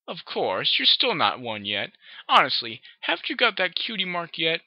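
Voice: medium pitch, huge pitch swings, moderate pace, dry recording, pitched above the performer's own voice. A reader voicing a condescending mother mare pony who talks down in sugary baby talk, on a dull, dry lo-fi recording. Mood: patronizing.

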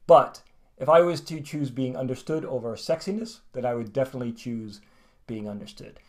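American man teaching, slow careful modeling. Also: medium pitch. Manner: lively, gesturing, slows right down to model words. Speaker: English teacher. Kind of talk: video lesson to camera. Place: small room at home, plain wall.

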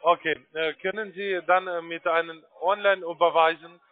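A man's voice: a little high, fairly even intonation. Tinny phone salesman with foreign accent. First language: not German. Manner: halting, formal German with grammar slips. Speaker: call-centre salesman. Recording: tinny narrow phone line.

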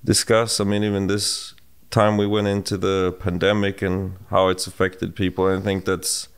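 A middle-aged Swedish-accented man talking into a boom studio mic at home. His voice sits low with a gravelly grain, yet it stays smooth and clear. He speaks reflectively, pausing and restarting his thoughts. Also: monotone. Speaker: podcast co-host. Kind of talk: casual conversation. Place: home studio room with bookshelves. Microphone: boom-mounted studio mic.

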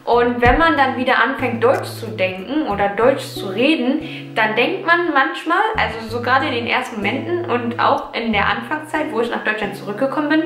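A woman in her twenties from Germany talks casually from her sofa, pausing now and then to find the right words. Her voice sits high, and the room gives it a noticeable echo. Music plays softly in the background. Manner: chatty, reflective, searching for words. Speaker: vlogger answering viewer questions. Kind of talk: casual Q&A vlog. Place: living room, seated on sofa.

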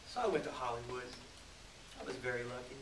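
An actor performing a stage monologue as a boastful, self-pitying Hollywood producer, theatrical and halting in delivery. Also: male voice, medium pitch, close to an everyday voice. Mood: smug, confiding. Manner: theatrical, halting, swinging from hushed to shouting. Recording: roomy, distant mic, loud background noise.

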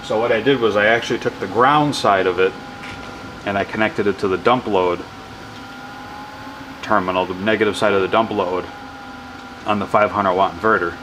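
A man casually explaining his wind turbine setup in a workshop, rambling and correcting himself with excitement. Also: fairly low pitch, moderate pace, pauses often. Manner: enthusiastic, rambling, self-correcting. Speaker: hobbyist wind power vlogger. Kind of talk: casual off-the-cuff demo narration.

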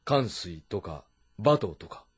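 An actor playing a shrewd middle-aged warlord of ancient China, husky and deliberate, in a historical video game. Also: male voice, fairly low pitch, sing-song, exaggerated voice. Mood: calm, commanding. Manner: authoritative, deliberate, lordly. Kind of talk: dramatic Japanese historical game voice acting.

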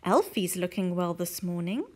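A woman voicing a shy, lovesick older man who speaks in a lower, soft and solemn way. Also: moderate pace, lightly acted. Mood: earnest.

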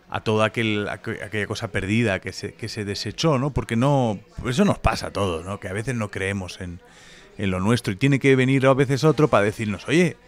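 A man hosting a casual podcast interview, reflective and chatty, often tagging his thoughts with little questions. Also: on the low side. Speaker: podcast host. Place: wine venue indoors.

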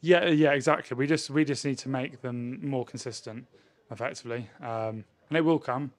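A man, a rugby player answering questions in a post-match interview in a measured way. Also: mid-range voice. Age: 20s. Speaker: professional rugby player, winger. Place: indoors in front of a sponsor backdrop. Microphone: handheld interview microphone held at chest height.

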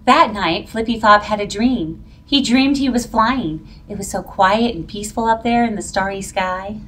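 A middle-aged woman reading a children's picture book aloud in an expressive, dramatic, drawn-out way. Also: mid-range voice, slight background noise. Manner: animated, drawn-out, dramatic delivery for young children. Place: plain-walled indoor room. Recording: voice picked up from a distance.

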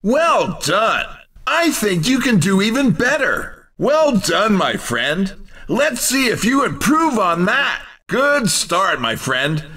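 An excitable arcade racing game commentator with a wildly swooping, forceful voice. He draws out short, theatrical calls very slowly, with a teasing edge. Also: sits high, recorded from a distance, full character voice. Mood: encouraging. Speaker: video game race commentator.

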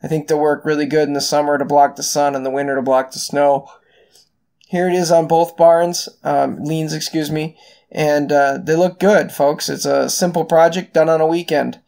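A middle-aged man, hosting a casual do-it-yourself farm project voice-over in a relaxed, folksy way with many ums. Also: a little high, moderate pace, creaky. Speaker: farm owner and channel host.

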